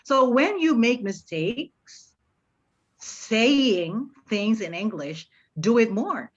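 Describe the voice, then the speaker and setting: A woman's voice: on the high side, animated, very slow. A middle-aged woman from Indonesia with an American-influenced accent, an encouraging teacher giving informal, instructive advice to learners. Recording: dull, lo-fi online meeting audio.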